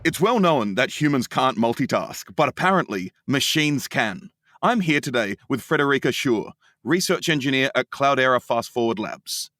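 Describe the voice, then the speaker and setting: A man's voice: medium pitch, lively intonation, normal speed, a bit bright, no room sound, faint music behind. Podcast host man, close and dry. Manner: conversational, prompting questions. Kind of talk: interview hosting.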